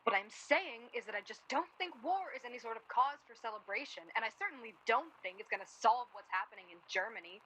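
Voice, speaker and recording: Sharp sarcastic lady in a 1930s radio drama, highish bright voice, needles people. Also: expressive, fast, hardly any pauses, a little hiss or hum, rough recording. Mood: scornful, argumentative. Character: sharp, guilt-tripping woman reluctantly hosting houseguests. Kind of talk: radio play acting, 1930s period drama.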